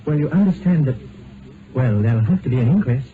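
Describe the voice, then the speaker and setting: A man acting a grave male doctor in a vintage radio play, formal and halting, with apologetic care. The sound is narrow as a phone line, with clear noise. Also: mid-range voice, expressive, lightly acted. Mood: formal, uneasy. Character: grave, hesitant male doctor bearing bad news. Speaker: actor. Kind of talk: old-time radio drama acting. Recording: narrow, noisy old radio recording.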